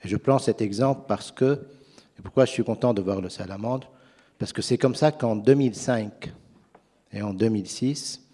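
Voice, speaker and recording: A man's voice: fairly low pitch, moderate pace, dark tone, slightly rough. A man whose first language is not French, speaking earnestly and persuasively as a finance panelist at a conference.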